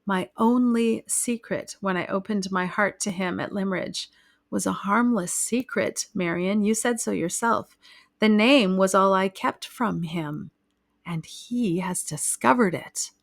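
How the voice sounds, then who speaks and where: A woman voicing a frightened young wife who speaks wearily and calmly of her troubles. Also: mid-range voice, a little slow, no echo, studio quality, lightly acted. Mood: weary. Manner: wearily calm, pleading.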